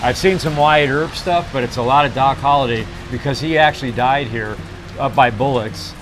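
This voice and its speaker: A man's voice: mid-range voice, moderate pace, over music, rough recording. A man in his fifties with an American accent, chatting casually as co-host of a travel vlog. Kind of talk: casual chat to camera. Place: restaurant table and rainy downtown street at night.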